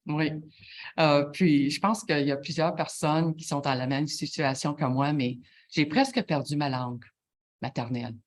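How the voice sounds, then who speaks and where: A middle-aged woman with a Franco-Ontarian French accent, answering interview questions haltingly, often stopping to search for words. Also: deep voice, fairly even intonation. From Ontario, Canada. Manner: halting, many fillers and restarts, searching for words. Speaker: guest, chief executive.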